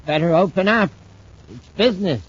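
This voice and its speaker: An actor playing a weakened, obsessed spacer in a 1950s radio drama, brooding and intense. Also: male voice, high voice, animated, normal speed, breathy edge. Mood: insistent. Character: thin, weakened, obsessed male spacer seeking his old partner. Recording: muffled old-time radio recording.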